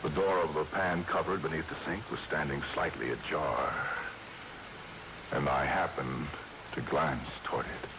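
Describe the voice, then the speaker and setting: A frightened sailor facing a snake. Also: male voice, extremely low pitch, measured pace, background noise. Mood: tense dread. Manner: tense, hushed first-person telling. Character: terrified man narrating his encounter with a deadly snake. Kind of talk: 1940s radio drama narration. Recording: old radio broadcast with loud music.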